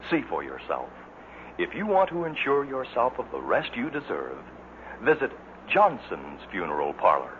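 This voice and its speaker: A man's voice: medium pitch, wildly swooping pitch, moderate pace. A man playing a persuasive announcer reading a vintage radio commercial. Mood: earnest, persuasive. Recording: dull, faintly noisy old radio.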